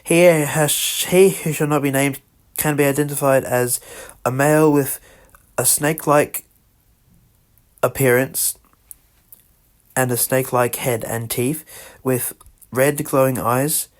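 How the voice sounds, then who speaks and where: Halting man reading emergency alert. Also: medium pitch, steady tone. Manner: halting, stumbles over the script and corrects himself. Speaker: radio emergency announcer in a fan-made scenario. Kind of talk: amateur scripted emergency broadcast narration.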